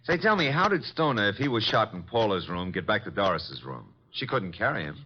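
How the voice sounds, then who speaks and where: Brisk, punchy, loyal assistant sleuth, low and animated, dulled old radio recording. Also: male voice, breathy edge. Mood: puzzled, inquisitive. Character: brisk, wisecracking detective's assistant, loyal and inquisitive. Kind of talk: 1950s radio detective drama acting. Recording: muffled vintage radio recording.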